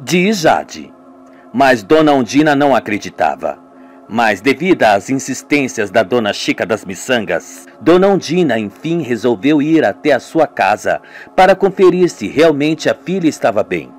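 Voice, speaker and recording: A man's voice: medium pitch, sing-song, moderate pace. A man with a Brazilian accent narrates a scripted radio serial theatrically, voicing each part himself. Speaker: narrator of an amateur radio serial, doing all the parts. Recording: music behind the voice. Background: music.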